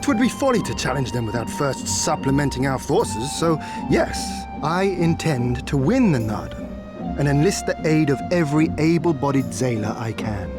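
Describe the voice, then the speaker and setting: A man's voice: medium pitch, very animated, over music, natural voice. A man playing a resolute young prince. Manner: formal, archaic, measured. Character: noble young male prince, earnest and resolute. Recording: game soundtrack under the voice.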